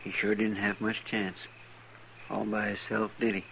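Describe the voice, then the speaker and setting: Creaky-voiced folksy Western deputy. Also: male voice, fairly low pitch, monotone, unhurried, a bit bright. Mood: hushed, sorrowful. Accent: American rural Western drawl. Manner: plain-spoken, folksy, deferential. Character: folksy, deferential deputy, simple and eager. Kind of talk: old-time radio western acting. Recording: noisy vintage radio drama with effects.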